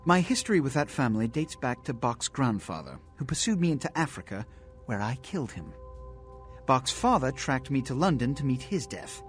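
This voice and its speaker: An actor playing a haughty, scheming vampire prince in a video game, speaking in a formal, commanding, theatrical way. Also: male voice, medium pitch, sing-song, normal speed, soft background music, natural voice. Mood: grave, lecturing. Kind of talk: video game character acting.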